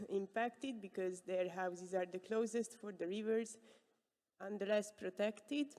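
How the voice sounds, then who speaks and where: A woman's voice: medium pitch, warm tone. Woman asking a question in a reverberant hall. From Hungary. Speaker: audience member asking a question. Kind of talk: question from the floor. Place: large conference hall.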